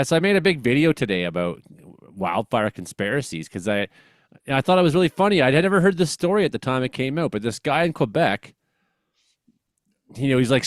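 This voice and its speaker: A man's voice: medium pitch, no echo, studio quality. A man with a Western Canadian accent hosts a comedy weather show in casual, rambling, slangy chat, joking and exclaiming as he comments.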